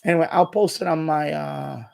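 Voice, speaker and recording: Male streamer, middle-aged, slightly high voice with heavy fry; casual, repetitive, explanatory delivery. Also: fairly even intonation, brisk, a little mellow. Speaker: live stream host. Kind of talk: casual unscripted live stream chat.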